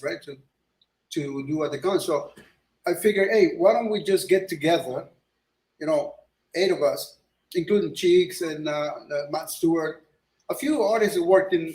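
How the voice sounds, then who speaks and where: A man's voice: fairly high pitch, darkish tone. A middle-aged man from Ecuador, a guest illustrator, chatting in a loose, rambling way with lots of restarts. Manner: rambling, many restarts and fillers. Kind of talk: casual interview chat. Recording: echoey room.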